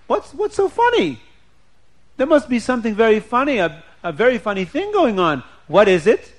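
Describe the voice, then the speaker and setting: A man's voice: fairly high pitch, very animated, fast. A man voicing an outraged wealthy wife who scolds her husband in indignant rhetorical questions, in an oral story. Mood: suspicious, curious.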